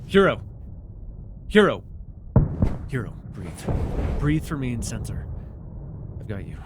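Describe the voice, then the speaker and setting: A man's voice: mid-range voice, sing-song, takes it slowly, heavy background noise. A man playing a sardonic telepath villain who is a reluctant, protective mentor in a superhero audio roleplay, intense and emotive. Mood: tender, soothing. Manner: intense, emotive, swinging between biting and tender.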